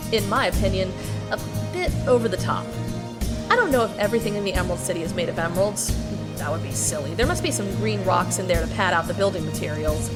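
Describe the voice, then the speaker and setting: A woman's voice: medium pitch, natural voice. A woman playing a wry, wondering young heroine who tells her own adventure conversationally in an audio drama. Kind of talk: first-person audio drama narration and dialogue.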